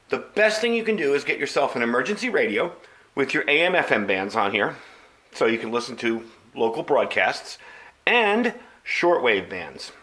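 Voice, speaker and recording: A man's voice: mid-range voice, bright, forceful tone. A man hosting a video channel, talking through a product review in a casual, plain-spoken, explanatory way.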